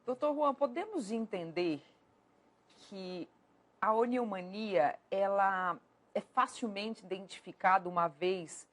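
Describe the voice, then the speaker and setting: A woman's voice: mid-range voice, takes it slowly, fairly bright tone. A woman hosting a TV talk show, playful and teasing with her guests. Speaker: TV show host.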